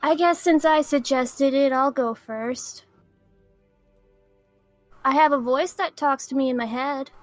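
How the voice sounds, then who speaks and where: A woman's voice: high-pitched, a little slow, long pauses, no room sound, faint music behind. A woman playing in a tabletop role-playing game, chatty and playful, laughing often. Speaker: player.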